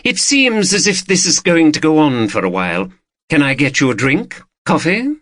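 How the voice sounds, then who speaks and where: A man's voice: on the high side, big pitch swings, moderate pace, a bit bright, no echo, studio quality, voice pushed up. Man voicing a haughty, lilting rich woman. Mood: brisk, hospitable. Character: wealthy, haughty woman collector, gracious and discreet.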